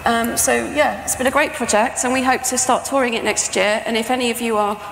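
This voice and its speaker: British woman, middle-aged, chatty presenter with some creak in her voice, reverberant hall with faint noise. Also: fairly high pitch, steady tone, fairly quick, a bit bright. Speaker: composer and sound art professor presenting. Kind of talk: informal conference talk. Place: stage in a large presentation tent or hall. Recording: echoey hall with background noise.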